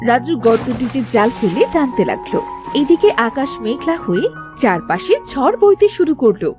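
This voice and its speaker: Female storyteller for children's cartoon, high voice, lively intonation, scripted read, music bed. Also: normal speed. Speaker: narrator.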